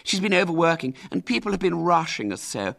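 Guy reading as a bratty stuck-up famous kid, haughty, pitch bouncing all over, gravelly edge. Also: big pitch swings, brisk, fairly bright tone, full character voice. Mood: boastful. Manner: haughty, self-important. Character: spoiled pompous famous little boy.

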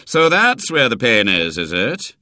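A man's voice: mid-range voice, big pitch swings, bright, forceful tone, no echo, clean studio sound, exaggerated voice. Man playing a grim, sardonic old doctor. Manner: slow, sardonic, emphatic.

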